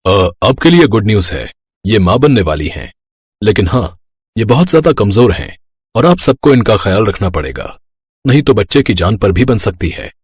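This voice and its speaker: Male doctor acted by a man, low husky voice, matter-of-fact, cautioning, dry sound, cartoon story. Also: sing-song, normal speed, dry recording. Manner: plain, cautioning.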